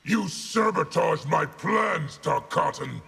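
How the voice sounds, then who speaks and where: An actor playing an arrogant, ruthless general in a video game, commanding and contemptuous. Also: male voice, fairly high pitch, lively intonation, slow pace, gravelly, pushed and bright, breathy edge, full character voice. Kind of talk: video game character dialogue.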